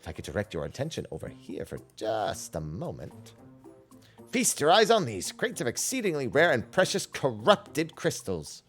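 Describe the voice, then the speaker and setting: Guy doing a boastful game merchant, flowery sales talk, pitch moves around a lot. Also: huge pitch swings, normal speed, a bit bright, husky, faint music behind, exaggerated voice. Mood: boastful, coaxing. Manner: florid sales patter. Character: boastful, smooth-talking merchant, self-styled lucky. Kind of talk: game character dialogue read aloud.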